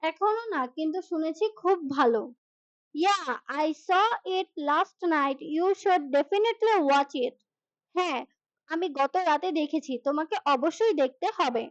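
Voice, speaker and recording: A woman reading a scripted language lesson dialogue line by line as a teacher, in a deliberate manner. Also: extremely high pitch, lively intonation, no echo, dull audio. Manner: slow deliberate English, brisk translations. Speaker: English teacher.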